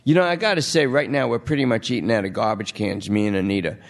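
A man's voice: on the low side, fast, pitched above the performer's own voice, close to an everyday voice. A man voicing a jittery, wheedling male junkie under arrest who pleads and rambles.

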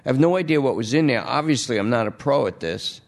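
A man's voice: mid-range voice, expressive, quick pace, pitched above the performer's own voice, close to an everyday voice. A man voicing a jittery, wheedling male junkie under arrest who pleads and rambles. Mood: agitated.

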